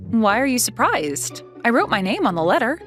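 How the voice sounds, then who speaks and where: A woman's voice: on the high side, sing-song, moderate pace, fairly bright tone, soft background music. A woman playing a haughty, blunt teenage schoolgirl in a manga dub, speaking in a demanding, self-assured way. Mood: accusing. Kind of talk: manga dub character dialogue.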